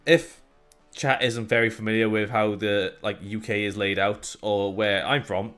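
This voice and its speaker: A man's voice: on the low side, level tone, a little slow, fairly bright tone, clear, resonant tone. Chatty man with light Welsh accent. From Wales. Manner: chatty, jokey, rambling with restarts. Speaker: co-host. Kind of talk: casual podcast chat.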